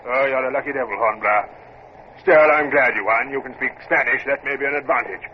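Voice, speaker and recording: A man's voice: mid-range voice, fairly quick, natural voice. A man acting a grumbling but convivial senior British naval captain in a radio drama, English-accented, chatty and hesitant. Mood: jovial, dithering. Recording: dull old radio recording, faint noise.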